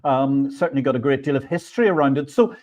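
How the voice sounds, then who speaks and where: A man's voice: mid-range voice, quick pace, a little mellow. A man hosting an interview show, reading an introduction and asking questions. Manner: enthusiastic, effusive praise. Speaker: podcast host. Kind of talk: interview host.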